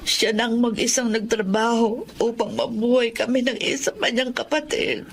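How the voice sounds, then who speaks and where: A woman's voice: fairly high pitch, fairly even intonation, normal speed, a little hiss or hum, close to an everyday voice. An actress with a Filipino accent playing a sickly widowed mother in a radio drama, plaintive and pleading. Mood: tearful pleading.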